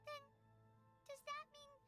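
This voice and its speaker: An extremely high, squeaky fairy companion, childlike and excitable. The little sidekick asks naive, anxious questions in video game dialogue over clear music. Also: female voice, slow, exaggerated voice. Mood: puzzled. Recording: game audio under music.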